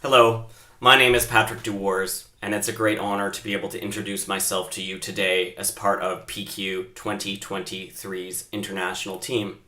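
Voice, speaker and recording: A man from Canada introduces himself in a polite, formal way. His low voice has a bright, forceful tone. The recording is noticeably roomy. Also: little pitch movement, moderate pace. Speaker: performance designer, professor. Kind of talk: prepared self-introduction. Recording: roomy echo.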